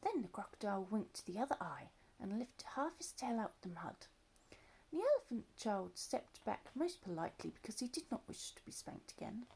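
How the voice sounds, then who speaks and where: Expressive woman reading a children's story. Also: mid-range voice, normal speed, creaky, breathy edge. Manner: expressive, lively voices, drops to soft asides. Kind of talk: children's story read-aloud.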